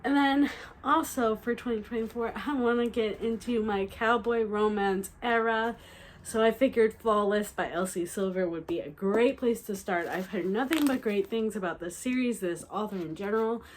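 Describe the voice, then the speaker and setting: A woman's voice: on the high side, normal speed, slight background noise. Chatty young woman, a bit nasal. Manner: chatty, excited, many fillers and restarts. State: slightly nasal from a cold. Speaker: book vlogger. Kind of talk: casual book haul chat.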